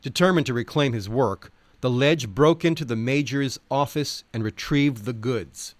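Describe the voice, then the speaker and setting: A man's voice: medium pitch, normal speed, no echo. A man reading nonfiction aloud as a narrator, in an articulate, wry, wordy style.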